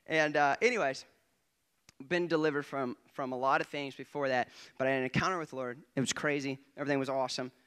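A young man, a guest preacher, giving an excitable testimony in church on a handheld mic, often laughing and swinging between quiet asides and loud bursts. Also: medium pitch, fairly quick, clear, resonant tone. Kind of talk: testimony sermon. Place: church stage before a congregation. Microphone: handheld microphone.

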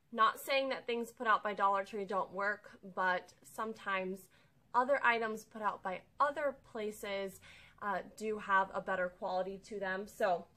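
Young woman, haul vlogger, bright and slightly high voice, chatty and upbeat, close to the camera in a living room. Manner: chatty, upbeat, explains each item. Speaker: vlogger showing a shopping haul. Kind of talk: casual haul vlog. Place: living room with a sofa, indoors.

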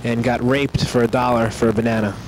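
A man's voice: medium pitch, level tone, fairly quick, noisy background. A man chatting casually on a road trip, making dry, wry remarks. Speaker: co-host. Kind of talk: casual chat.

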